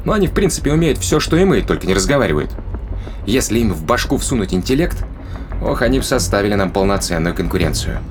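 A man's voice: on the low side, sing-song, fast, noisy background. A man playing a sardonic, reasoning spaceship crewman in an audio drama, explaining things in a colloquial way. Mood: wry, explanatory.

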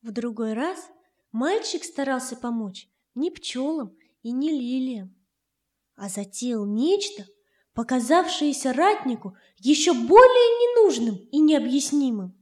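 High-voiced woman storyteller with soft echo. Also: sing-song, measured pace. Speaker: narrator. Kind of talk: scripted fairy-tale narration for children. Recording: roomy echo, faint music underneath. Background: faint music.

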